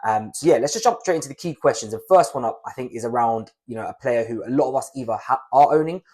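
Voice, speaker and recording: A young adult man with a croaky, raspy voice from a cold, giving chatty fantasy football analysis on his channel. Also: medium pitch, a little mellow. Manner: chatty, conversational, fast. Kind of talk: casual analysis to camera.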